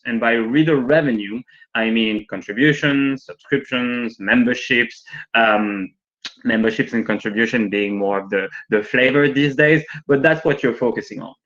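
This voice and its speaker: A man who is not a native English speaker leads a live training session from his home over a video call. He talks informally and often tacks on a quick "right?" as he goes. His voice sits at a middling pitch, and the call audio sounds somewhat muffled and lo-fi. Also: a bit bright. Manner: chatty, frequently adds "right?". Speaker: workshop presenter. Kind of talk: live online training talk with demos. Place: working from home.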